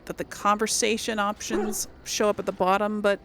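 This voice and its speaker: A woman's voice: mid-range voice, little pitch movement, moderate pace, fairly bright tone, slight background noise. A woman hosting a gaming video, giving casual commentary and thinking aloud informally as she plays.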